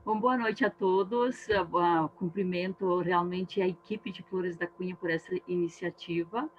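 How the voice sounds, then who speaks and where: Teacher with an Italian-tinged accent, muffled call audio. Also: female voice, lowish voice, fairly even intonation, measured pace, a little hiss or hum. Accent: Italian-influenced Brazilian Portuguese. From Antônio Prado, southern Brazil. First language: Italian dialect. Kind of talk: online meeting talk. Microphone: video call. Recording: dull lo-fi video call audio.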